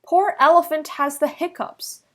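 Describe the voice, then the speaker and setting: A woman's voice: sits high, steady tone, a little slow, voice pushed up. A woman voicing a helpful, confident chimp in a children's storybook, emphatic in delivery. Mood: sympathetic.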